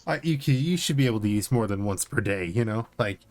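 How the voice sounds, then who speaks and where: A man's voice: on the low side, animated, fast talker, a little mellow. A man co-hosting a casual tabletop gaming discussion, informally reading out notes and commenting on them. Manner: informal, reads notes aloud then comments. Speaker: podcast co-host.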